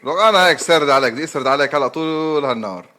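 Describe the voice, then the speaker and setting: A Lebanese man makes a prank call over the telephone at a very rapid pace. His voice sits a little high, and he goads the other party with crude, vulgar street banter. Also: animated, bright, forceful tone. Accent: Lebanese Arabic. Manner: taunting, vulgar, goading. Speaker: prank caller.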